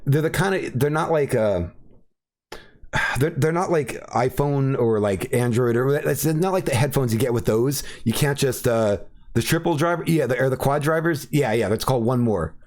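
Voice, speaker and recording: A man talks loosely on his live podcast, piling up fillers and restarts and swearing freely. His pitch sits in an ordinary middle range. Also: normal speed, darkish tone. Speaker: podcast host. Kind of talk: casual chat.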